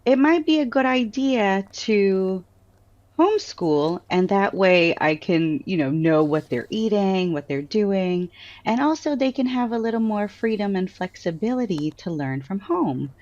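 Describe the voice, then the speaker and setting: A woman's voice: mid-range voice, unhurried. Upbeat American-accented woman, muffled line. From Trinidad. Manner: lively storyteller who laughs often. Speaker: author and guest. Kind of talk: casual interview answers.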